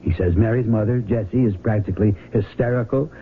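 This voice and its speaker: An actor playing an earnest, folksy small-town sheriff who speaks haltingly, in the style of a 1970s radio drama. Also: male voice, lowish voice, natural voice. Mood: troubled, halting. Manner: halting, repeats himself, folksy. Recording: narrow tinny vintage radio broadcast, noisy.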